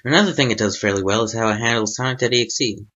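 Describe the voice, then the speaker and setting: A man's voice: on the low side, rapid-fire, pushed and bright, lo-fi sound. A man giving an informal spoken review as a host, rambling and hesitant as he thinks aloud. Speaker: host of a fanfiction reading series.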